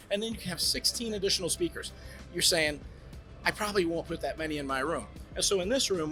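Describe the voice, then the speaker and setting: A man giving an eager sales pitch as a brand representative, demonstrating home theater gear in a trade show demo room. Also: sharp tone. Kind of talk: product demo talk.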